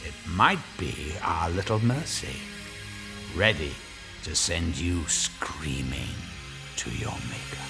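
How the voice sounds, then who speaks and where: An actor playing a sly, macabre storyteller, narrating horror theatrically like a mock sermon. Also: male voice, a bass voice, lively intonation, slow, full character voice. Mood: sly, warning. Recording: music clearly under the voice.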